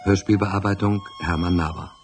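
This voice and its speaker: A man's voice: low-pitched, fairly even intonation, normal speed. A man reading the scripted closing credits of a radio drama as announcer. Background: music with flute.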